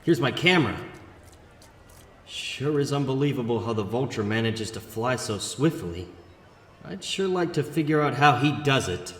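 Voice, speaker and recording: A man's voice: mid-range voice, moderate pace, natural voice. A man voicing a plucky, wisecracking young superhero who talks to himself in a comic book dramatic reading. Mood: confident, excited. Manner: animated, talking to himself. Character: young wisecracking superhero photographer, self-critical but plucky. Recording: echoey, long reverb, music underneath.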